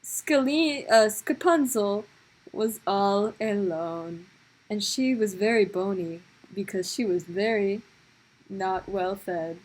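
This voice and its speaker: A woman's voice: medium pitch, slow, a little mellow, rough recording. A woman improvising comic stories as a storyteller, with a dramatic, sometimes hesitant delivery. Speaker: storyteller of improvised puppet shows.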